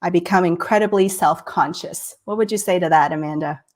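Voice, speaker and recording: A woman's voice: on the low side, fairly quick, darkish tone. A woman from Texas hosting a live-streamed interview, a bit nasal, enthusiastic and full of "right?". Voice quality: slightly nasal. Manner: enthusiastic, many "right?" and "I love it". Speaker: host.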